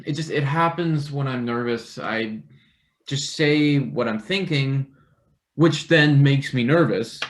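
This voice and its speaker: A man's voice: medium pitch, slow, natural voice. A man playing a nervous young college intern in a stage play reading, stammering, rambling and self-deprecating. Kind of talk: live table read of a satirical stage play.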